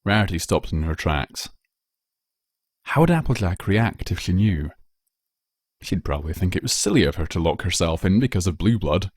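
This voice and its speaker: A man narrates a written story in a slightly low voice. His delivery is very animated, and the sound is dry and close. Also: normal speed, pauses often, slightly rough, no echo. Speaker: fan fiction reader.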